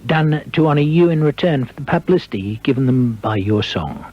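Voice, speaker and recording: A man's voice: medium pitch, animated, fairly quick, husky. A man hosts a radio interview, putting prepared questions to his guest. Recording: dull archival radio recording.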